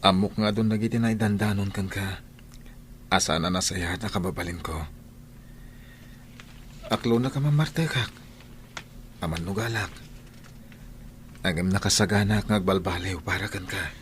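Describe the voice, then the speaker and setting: An actor playing a lovestruck young village suitor in a radio drama, speaking in a heartfelt, halting, pleading way. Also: male voice, deep voice, slight background noise, close to an everyday voice. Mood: earnest devotion.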